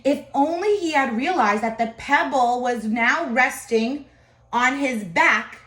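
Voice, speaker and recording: High-voiced woman reading a storybook aloud, echoey. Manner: expressive, pausing to comment to listeners. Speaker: storybook reader. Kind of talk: children's picture book read-aloud with asides. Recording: echoey room.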